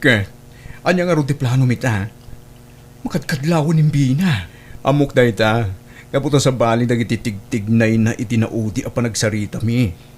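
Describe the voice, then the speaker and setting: A man acting a concerned, level-headed friend, medium-pitched. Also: normal speed, a little hiss or hum, lightly acted. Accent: northern Philippine. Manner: admonishing, sensible. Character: concerned, level-headed friend giving frank moral advice. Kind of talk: Philippine audio drama acting.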